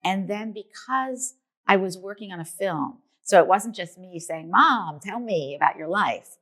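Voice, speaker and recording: Low-voiced woman, earnest storyteller. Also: vocal fry, sharp tone. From New York, US. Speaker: documentary filmmaker. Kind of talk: interview answers.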